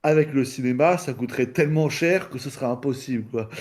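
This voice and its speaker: Guy chatting in a debate, mellow medium-pitched voice, keeps stopping to find words. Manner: hesitant, halting, searching for words. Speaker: debate participant. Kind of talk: casual debate chat.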